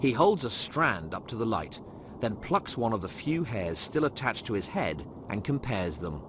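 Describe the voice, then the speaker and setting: A man's voice: fairly low pitch, very animated, moderate pace, noisy background. A man narrating a scripted comic audiobook, reading scenes and stage directions.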